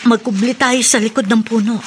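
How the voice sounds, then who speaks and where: A woman's voice: fairly high pitch, fairly even intonation, fairly quick, background noise, lightly acted. A woman acting an anxious younger companion in a radio horror drama, urgent and dramatic. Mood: anxious, wary.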